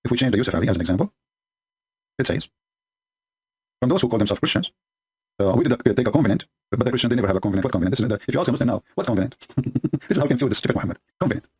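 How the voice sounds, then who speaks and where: A man whose first language is Arabic rattles through scripture at breakneck, double-speed pace, mocking as he goes. His voice is slightly husky, and his English is broken but forceful. The delivery is sarcastic and combative throughout. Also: mid-range voice, expressive, racing, long pauses. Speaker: religious polemic commentator. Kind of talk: commentary reading and mocking scripture.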